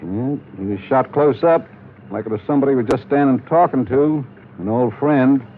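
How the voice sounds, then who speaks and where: An actor playing a gruff, weary, middle-aged small-town police lieutenant in a 1950s radio crime drama, American, with slangy hard-boiled delivery. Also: male voice, medium pitch, moderate pace, a little hiss or hum, close to an everyday voice. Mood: sardonic. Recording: tinny, band-limited old radio recording.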